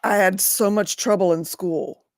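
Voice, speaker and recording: A woman in her fifties in a casual recovery meeting chat, chatty and quick to laugh, asking follow-up questions. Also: mid-range voice, fairly even intonation, dry recording, studio quality. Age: 50s.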